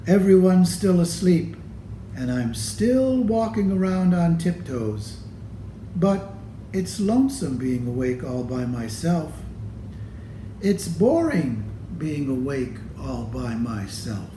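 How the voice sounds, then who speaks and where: A man reads a children's storybook aloud in a mellow, slightly high voice. He takes his time, leaving deliberate pauses between phrases. The sound is roomy and echoey. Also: big pitch swings, slight background noise. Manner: deliberate, pausing between phrases to show pictures. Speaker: storybook reader. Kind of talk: read-aloud of a children's picture book.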